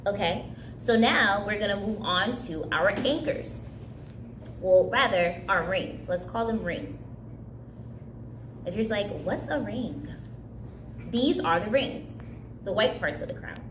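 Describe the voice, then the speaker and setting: A woman's voice: medium pitch, lively intonation, takes it slowly, pauses often, roomy sound, noisy background. A young woman whose first language is Haitian Creole, leading a casual, chatty craft tutorial for kids. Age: young adult. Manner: upbeat, chatty, laughs easily. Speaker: craft instructor. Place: indoor room, recreation center.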